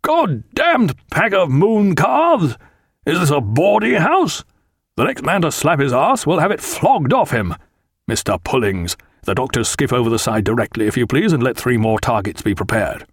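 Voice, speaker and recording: A man's voice: lowish voice, fairly quick, few pauses, no echo, clean studio sound, natural voice. A man voicing a big, authoritative British sea captain in an audiobook, with a bluff, blunt manner. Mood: roaring command.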